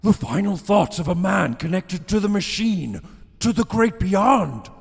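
An actor playing a megalomaniac admiral, a pompous, ranting cult leader in an audio drama. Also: male voice, high-pitched, sing-song, a little slow, creaky, husky, exaggerated voice. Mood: cold, menacing. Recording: long echoing reverb.

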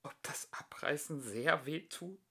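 A man's voice: a little high, measured pace, bright, forceful tone, slightly rough, pitched above the performer's own voice, full character voice. A man voicing a timid, anxious, weepy old female house in a children's story, plaintive and stammering. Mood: hushed fear.

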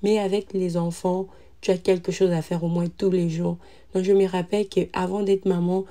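A woman in her thirties, a mother, narrating a cleaning vlog in a calm, relaxed voice-over with frequent hesitant fillers. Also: on the low side. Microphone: voice-over recorded separately.

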